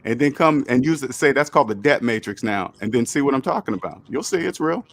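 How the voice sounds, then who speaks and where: A man's voice: medium pitch, animated, fast talker. A man in his fifties hosting a call-in money talk, emphatic and repeating his points. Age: 50s. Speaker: host.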